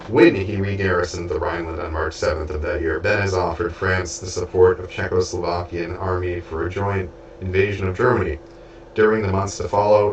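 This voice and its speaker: Stuffy man reading aloud, halting. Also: low voice. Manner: halting, stumbles over names and restarts words. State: stuffy and losing his voice. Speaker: audiobook reader and streamer. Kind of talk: reading a book aloud with spoken asides. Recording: roomy, distant from mic, faint background hiss.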